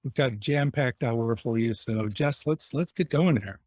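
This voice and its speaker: A man's voice: medium pitch, normal speed, darkish tone, no room sound. A man from Chicago with a nasal Chicago accent, introducing himself as program lead in a webinar in a friendly, self-deprecating way.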